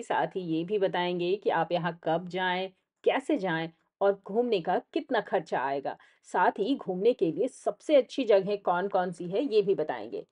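A woman's voice: medium pitch, mellow. Indian woman narrating a travelogue. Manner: explanatory, addressing the viewer directly.

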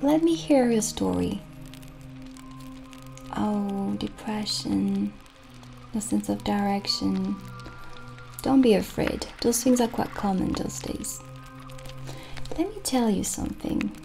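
A young woman playing a gentle, reassuring witch shopkeeper in a soft-spoken, soothing, unhurried ASMR roleplay. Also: medium pitch, vocal fry. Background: soft music.

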